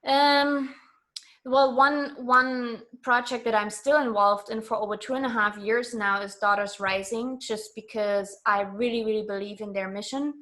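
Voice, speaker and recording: A woman's voice: fairly high pitch, steady tone, a little slow, sharp tone. A woman from East Germany with a German accent, talking earnestly and explaining her views as an interview guest. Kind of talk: reflective conversational interview.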